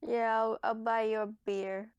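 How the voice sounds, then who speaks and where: A woman playing a tabletop roleplaying game, chatting casually in character in a hesitant, low-key way. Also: flat delivery, measured pace, a little mellow, clear, resonant tone, no room sound, dull audio.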